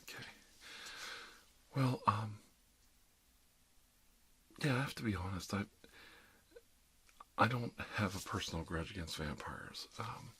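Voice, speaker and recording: A man's voice: on the low side, expressive, takes it slowly, pauses often, fairly bright tone, husky. A middle-aged man doing an ASMR roleplay as a dentist, chatting casually in a rambling, jokey, one-sided conversation peppered with "you know". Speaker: ASMR performer playing an emergency dentist.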